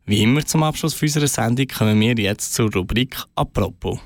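A man hosting a radio show, announcing segments in a relaxed way. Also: mid-range voice, brisk, no echo, clean studio sound. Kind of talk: radio moderation.

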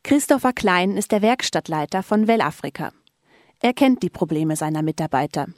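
A woman's voice: fairly low pitch, expressive, normal speed, darkish tone, no room sound, clean studio sound. Woman reading radio news. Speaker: radio reporter. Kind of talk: scripted news narration.

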